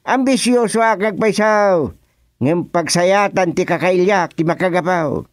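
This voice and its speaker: An actor playing an ambitious village man running for office, animated and boastful in a comedic radio drama. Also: high-pitched, unhurried, soft background music.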